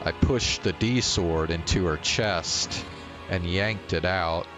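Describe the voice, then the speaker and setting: Man, low-pitched, slow delivery, dramatic first-person game narration, measured phrasing. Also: over music. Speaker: streamer reading a visual novel aloud.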